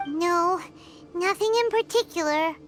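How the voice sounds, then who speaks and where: A woman voicing a tiny, childlike, excitable fairy companion in a video game, with a squeaky, chirpy, exclamatory delivery. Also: a little slow, soft background music. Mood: wary, puzzled. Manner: exclamatory, refers to self in third person. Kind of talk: cartoonish video game voice acting.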